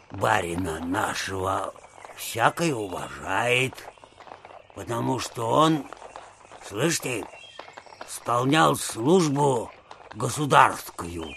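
An actor playing a tipsy, folksy coachman in an audio drama, servile yet familiar, with a halting, slurred, muttering drawl. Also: lowish voice, vocal fry, fairly bright tone, slight background noise, full character voice. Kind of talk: audio drama acting of a classic novel.

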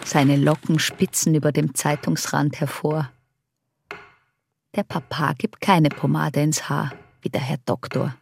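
Creaky, low-voiced, resentful young daughter. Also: female voice, fairly even intonation, unhurried, darkish tone, heavily acted. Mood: detached, observant. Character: young daughter's inner voice, observant, dry, resentful. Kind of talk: intimate radio drama inner monologue.

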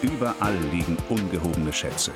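Radio drama: solemn male visionary, low register, heavy music underneath. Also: lively intonation, moderate pace.

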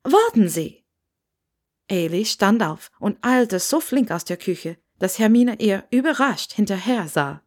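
A woman's voice: mid-range voice, darkish tone. A woman reading a scripted story aloud as its narrator. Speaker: narrator reading fan fiction.

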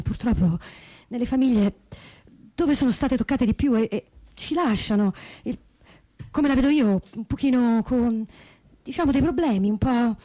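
A woman playing a sorrowful granddaughter in a stage testimony reading, speaking haltingly and repeating words as she searches for them. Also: a little high, warm tone, lightly acted. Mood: hesitant, searching. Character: sorrowful granddaughter of a deported family, haltingly remembering. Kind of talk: theatrical reading of personal testimony, conversational.

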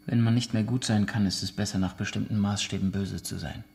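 Gravelly, low, subdued man. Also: fairly even intonation, brisk, a little mellow. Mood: resigned. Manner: terse, clipped sentences. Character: resigned, subdued man being questioned. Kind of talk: naturalistic radio drama testimony.

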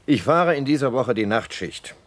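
Man playing a chatty, animated, punctilious bus conductor. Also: medium pitch, moderate pace, no echo, natural voice. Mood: eager, self-assured. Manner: precise, eager, talkative. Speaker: actor in a radio crime play. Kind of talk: German radio play acting.

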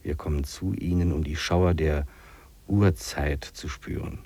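An actor playing a weary, dreamy wilderness hiker in a radio drama, reflective and poetic, with a gravelly, husky voice. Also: male voice, rumbling low, fairly even intonation, measured pace, dark tone, heavily acted. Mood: solemn, reflective. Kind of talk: 1950s German radio drama acting.

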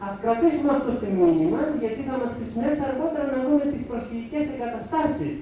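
A man giving a public lecture over slides, speaking haltingly in short phrases with pauses. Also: extremely high pitch, dark tone. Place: lecture hall with audience. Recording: echoey hall, distant mic, faint noise.

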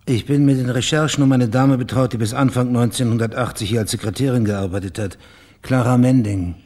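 An actor playing a calm private investigator in a radio crime drama, terse, polite and inquisitive. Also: male voice, medium pitch, brisk, close to an everyday voice. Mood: probing.

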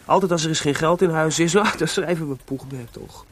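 An actor playing a playful, broke, impulsive young composer and husband in a Dutch radio drama, with a teasing, theatrical delivery. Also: male voice, on the high side, brisk, close to an everyday voice. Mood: flippant, carefree. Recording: noisy old radio play recording.

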